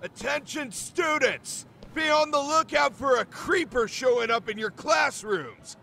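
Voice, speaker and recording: A woman voicing a brash, jeering high school bully in a video game dub, loud and mocking. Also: expressive, measured pace, creaky, a little hiss or hum, heavily acted.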